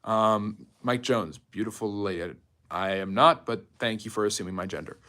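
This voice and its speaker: A man's voice: lowish voice, normal speed, pushed and bright. A man hosting a live stream, talking casually and informally like a history teacher taking questions from viewers. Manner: chatty, jumps between topics, reads out and answers viewer comments.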